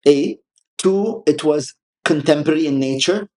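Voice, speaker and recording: A man's voice: fairly high pitch, normal speed, warm tone. A man whose first language is Arabic, speaking as an art collector guest in a conversational interview, with frequent restarts and fillers. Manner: hesitant, many restarts and fillers.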